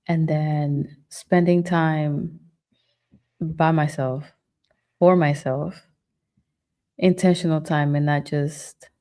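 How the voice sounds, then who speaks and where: Low, mellow-voiced woman. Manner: reflective, candid. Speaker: podcast guest. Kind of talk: casual chat.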